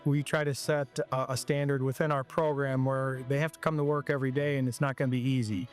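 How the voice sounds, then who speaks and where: A man in his forties, a high school football coach from Ohio, answers interview questions at a mid-range pitch. He talks haltingly, restarting and repeating words as he gathers his thoughts. Soft music plays faintly behind him. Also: little pitch movement.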